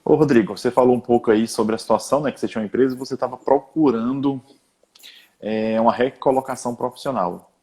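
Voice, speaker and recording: A man's voice: medium pitch, fairly even intonation, fast, warm tone, breathy edge. A man hosting an online interview, chatty and friendly, often adding tag questions. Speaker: host, exam-prep teacher.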